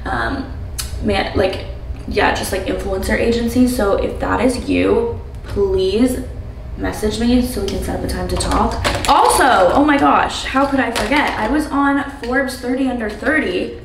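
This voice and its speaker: A woman's voice: a little high, unhurried, creaky. An American woman in her twenties chatting casually to camera as a vlogger, in a talkative style peppered with "like.". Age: 20s. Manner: chatty, frequent "like". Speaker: lifestyle vlogger. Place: home bathroom with hard surfaces. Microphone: camera a step or two away. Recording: roomy, distant mic, faint noise.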